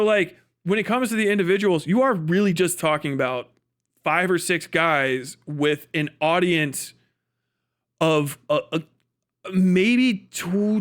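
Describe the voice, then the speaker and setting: A man's voice: a little high, fairly bright tone. A man giving casual livestream commentary in an emphatic, rambling, profane way. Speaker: streamer.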